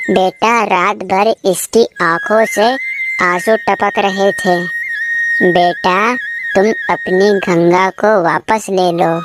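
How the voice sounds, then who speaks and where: A woman voicing a wise, kindly old village man in a moral cartoon, with a measured, fatherly manner. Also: medium pitch, close to an everyday voice. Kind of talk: Hindi moral cartoon story voice acting.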